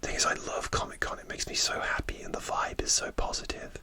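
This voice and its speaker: Man whispering softly into a close mic. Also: fast talker. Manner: soft, rambling and hesitant, with many restarts. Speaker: ASMR creator. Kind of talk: whispered ASMR storytelling.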